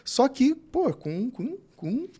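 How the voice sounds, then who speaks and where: A man telling stories in a casual podcast chat, animated and jumping high on stressed words. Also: takes it slowly, breathy edge.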